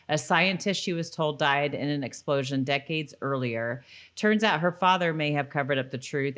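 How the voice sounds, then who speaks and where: A woman's voice: deep voice, a bit bright. A woman co-hosting a podcast in an informal, chatty style, reacting readily to her partner. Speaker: podcast co-host. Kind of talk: casual rewatch chat with some read-out recap.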